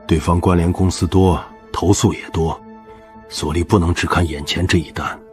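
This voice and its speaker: Man acting officious office lawyer, deep voice, cautious, businesslike, admonishing, animated drama. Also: normal speed, faint music behind, close to an everyday voice. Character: cautious, officious male colleague at a law firm.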